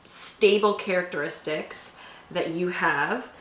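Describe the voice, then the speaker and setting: A woman's voice: fairly low pitch, unhurried. A woman hosting a tabletop roleplaying show, talking in a casual, explanatory way. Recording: roomy echo, faint background noise.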